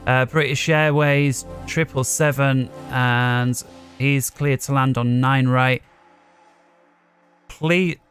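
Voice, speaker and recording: Male gaming host, mid-pitched, casual think-aloud play commentary over music. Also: steady tone, slow pace, a bit bright. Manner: thinking aloud while playing.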